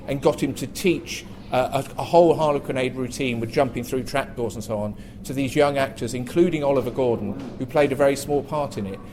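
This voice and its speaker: A man's voice: mid-range voice, darkish tone. Man telling anecdotes, noisy room recording. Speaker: panelist. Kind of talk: anecdotal panel talk. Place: theatre, live panel discussion. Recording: live theatre panel, background noise.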